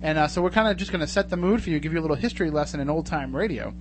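Jokey chatty radio host guy, voice a bit high, hum and noise behind. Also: rapid-fire. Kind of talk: casual radio talk. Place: radio studio.

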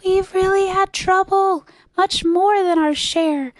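A woman's voice: very high-pitched, fairly even intonation, takes it slowly, no echo, pitched above the performer's own voice. A woman voicing a scared, tiny male mayor of a miniature people, plaintive and pleading, in storybook style. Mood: plaintive, shaken. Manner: plaintive, pleading, calling from afar. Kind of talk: storybook character voice.

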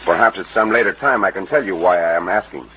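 A man's voice: deep voice, fast, close to an everyday voice. A man playing a gallant, confident cowboy adventurer with a Mexican accent and courteous, formal speech in an old radio western. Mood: earnest, determined. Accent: Mexican Spanish. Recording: muffled, noisy vintage radio recording.

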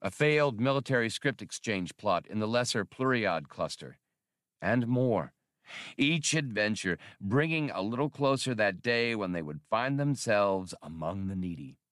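A man narrating an audiobook in a measured, steady storytelling manner. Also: lowish voice, no echo, clean studio sound.

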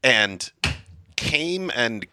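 A man hosting a podcast, chatting and telling a family story at a quick, lively clip. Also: medium pitch, noisy background. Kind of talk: casual chat.

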